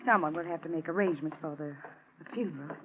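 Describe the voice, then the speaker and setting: An actress playing a poised, enigmatic young housekeeper with genteel speech in a 1940s radio drama. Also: female voice, sits low, lightly acted. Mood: composed, enigmatic. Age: young adult. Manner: genteel, measured, theatrical. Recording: muffled, hissy 1940s radio recording.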